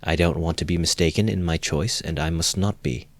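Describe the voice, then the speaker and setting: A man voicing an earnest, resolute young woman speaking with measured feeling. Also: low-pitched, no echo. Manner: earnest and deliberate.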